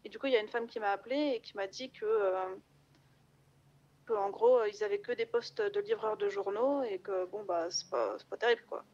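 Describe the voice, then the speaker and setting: A woman telling stories casually in an online roleplay, chatty, slangy and halting, over game voice chat. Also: mid-range voice, darkish tone, highs cut off. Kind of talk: casual in-character storytelling.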